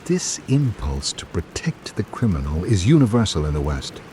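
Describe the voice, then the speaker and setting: A man playing a reflective visiting writer, reading his island journal in measured, literary radio drama narration. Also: sits low, big pitch swings, mellow, a little hiss or hum. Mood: reasoning.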